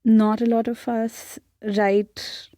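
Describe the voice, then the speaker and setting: Young Indian-accented woman, interview guest, reflective and searching, mellow tone, dry close sound. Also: fairly high pitch, level tone, takes it slowly, no room sound. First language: Hindi. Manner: thoughtful, searching, with frequent restarts. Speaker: podcast guest.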